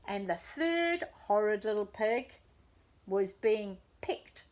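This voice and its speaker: A woman storyteller reading a children's tale expressively and deliberately, as if to young listeners. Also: mid-range voice, animated, a little mellow. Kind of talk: scripted children's story narration.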